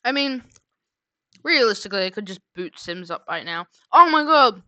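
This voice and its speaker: A woman's voice: mid-range voice, very animated, moderate pace, bright, forceful tone, no room sound, dull audio. A woman livestreamer chatting casually and rambling, half muttering to herself, close to a streaming mic. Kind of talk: casual livestream chat while drawing.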